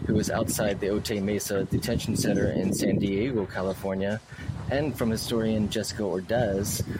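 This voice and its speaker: Low-voiced radio man over loud noise. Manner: relaxed, loose, fillers. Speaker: radio station artist in residence, show introducer. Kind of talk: radio station announcement.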